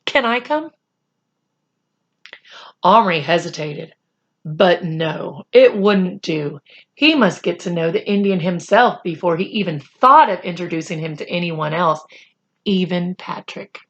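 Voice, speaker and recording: A woman reading a children's chapter book aloud in expressive, deliberate storytelling phrases. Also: fairly low pitch, highs cut off.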